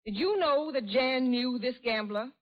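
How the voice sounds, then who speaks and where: An actress playing a prim, moralizing middle-aged society aunt, formal and haughty, in an old-time radio drama. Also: female voice, high-pitched, normal speed, close to an everyday voice. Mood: disapproving. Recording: dull, lo-fi vintage radio recording.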